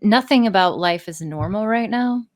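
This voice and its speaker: A woman's voice: mid-range voice, lively intonation, moderate pace. A woman chatting casually on a podcast, talking in a candid, wry way with plenty of swearing. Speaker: podcast guest, audio creator.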